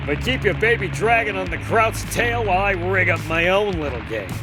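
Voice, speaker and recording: An actor playing a brash young American fighter pilot hero, urgent and commanding, in an animated adventure with music underneath. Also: male voice, sits high, brisk. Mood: confident.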